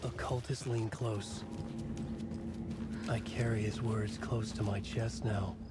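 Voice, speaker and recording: An actor playing a haunted, brooding writer who narrates a horror story gravely in a video game. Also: male voice, lowish voice, steady tone, takes it slowly. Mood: ominous. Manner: grave, ominous delivery. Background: loud music.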